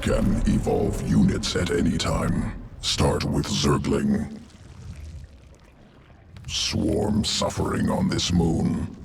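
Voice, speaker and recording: A man voicing a cold, clinical alien insectoid evolution master in a video game, with a guttural creature rasp and clipped, telegraphic, detached speech. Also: extremely low pitch, expressive, full character voice. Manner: clipped telegraphic sentences without articles, detached and deliberate. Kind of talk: video game character acting. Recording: music behind the voice.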